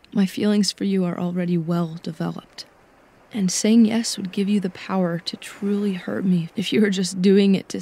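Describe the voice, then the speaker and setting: A woman voicing a guarded young cat-eared huntress in an audiobook, serious and tender, with a measured, hushed delivery. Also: mid-range voice, creaky, breathy edge. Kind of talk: audiobook fan fiction character dialogue.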